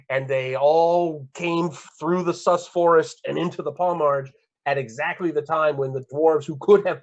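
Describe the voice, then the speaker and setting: A man in his fifties, a guest author, explaining lore in a measured, hesitant way with many fillers. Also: a little high, lo-fi sound. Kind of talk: casual chat, explaining lore.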